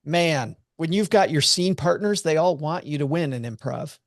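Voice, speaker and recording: A man telling a personal story as a podcast guest, earnest and animated. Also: fairly high pitch, moderate pace, no room sound, clean studio sound. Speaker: podcast guest, money commentator. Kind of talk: casual storytelling chat.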